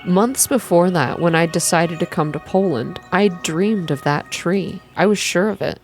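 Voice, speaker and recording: A woman softly reading a scripted first-person story in a measured ASMR style, as narrator. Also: lowish voice, faint music behind. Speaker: reader, narrator.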